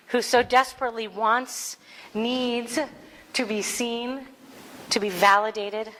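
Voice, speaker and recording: A woman giving a heartfelt spiritual talk to a congregation as its minister, speaking with earnest warmth and reading passages aloud. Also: fairly high pitch, big-room sound, a little hiss or hum, rough recording.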